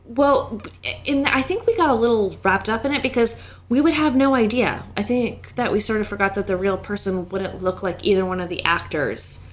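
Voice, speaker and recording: A woman's voice: medium pitch, normal speed. Giggly woman co-hosting a podcast. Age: 20s. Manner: giggly, playful, animated. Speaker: podcast co-host. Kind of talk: casual chat.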